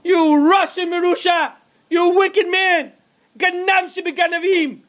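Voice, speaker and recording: A man's voice: normal speed, fairly bright tone. A man voicing a frantic mother who shrieks accusations at a shopkeeper, in a storytelling teacher's classroom tale. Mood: furious. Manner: shrill, accusing, hurls insults. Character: frantic shrieking mother, middle-aged woman.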